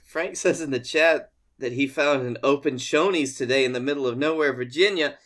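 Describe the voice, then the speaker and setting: A man's voice: medium pitch, normal speed, fairly bright tone. A man co-hosting a comedy podcast, chatting casually and reacting to his partner in short remarks.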